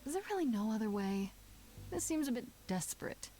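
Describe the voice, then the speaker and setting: A woman's voice: on the high side, very animated, brisk, mellow, soft background music. A woman playing an earnest, uncertain young heroine with dry wit in a video game. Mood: doubtful.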